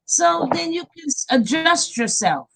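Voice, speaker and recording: A woman hosting a casual live panel stream over a video call, animated and laughing often. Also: very high voice, a little slow, creaky. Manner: animated, laughing, talks up a storm.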